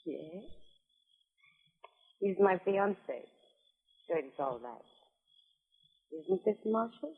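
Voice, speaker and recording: Coy frontier temptress on old radio. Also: female voice, medium pitch, very animated, slow, pauses often, slightly rough, full character voice. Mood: cool, flirtatious. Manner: coy, teasing, forward. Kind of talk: 1950s radio drama acting. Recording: muffled, narrow-band old radio recording.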